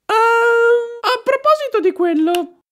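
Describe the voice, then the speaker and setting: Squeaky little creature, extremely high. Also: female voice, lively intonation, slow, a bit bright, slight background noise, exaggerated voice. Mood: sheepish, defensive. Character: small cute talking electric creature, cheeky sidekick. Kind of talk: Italian fan-dub cartoon acting. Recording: music under the voice.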